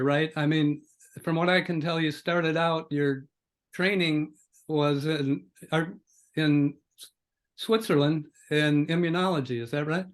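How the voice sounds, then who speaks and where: An older man hosting a podcast conversation in an unscripted, halting way, as if thinking aloud. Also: on the high side. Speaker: podcast host. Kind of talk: conversational scripted-free interview introduction.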